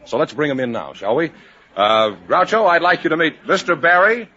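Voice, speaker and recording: A man's voice: a little high, big pitch swings, brisk, pushed and bright. An American man announcing guests and scores in the style of a 1950s radio show. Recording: muffled 1950s radio broadcast.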